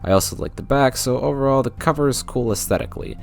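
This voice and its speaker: A man's voice: mid-range voice, expressive, fast, vocal fry, faint music behind. A man narrating a scripted video game review in a wry, sarcastic way.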